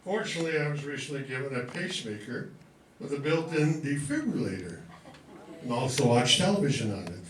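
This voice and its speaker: An older man with a medium-pitched voice gives an informal speech in short, halting phrases with a dry wit. He sounds some distance from the recorder, in an echoey room with faint noise. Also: animated. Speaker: guest speaker, amateur writer and actor. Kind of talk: informal thank-you speech. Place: room before a live audience.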